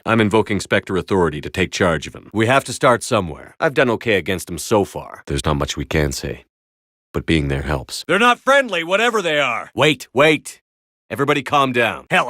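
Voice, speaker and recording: Low-voiced, commanding soldier hero. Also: male voice, sharp tone, dry recording, clean studio sound. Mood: calm, sincere, then urgent, commanding. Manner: firm, terse, authoritative delivery. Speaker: video game voice actor. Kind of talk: video game character dialogue.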